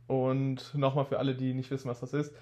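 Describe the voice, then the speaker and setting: A man's voice: mid-range voice, brisk. Young man with a slight cold. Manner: relaxed storytelling, laid-back slang. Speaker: podcast co-host. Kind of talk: casual chat.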